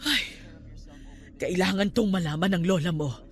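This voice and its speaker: An actress playing a stern, superstitious aunt who scolds and admonishes in a Filipino radio drama. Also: female voice, fairly low pitch, a little slow, husky. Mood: concerned.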